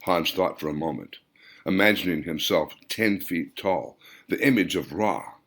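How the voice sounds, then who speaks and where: A man's voice: sits low, unhurried, fairly bright tone. A man reading his own fiction audiobook in a dramatic, scripted storytelling delivery.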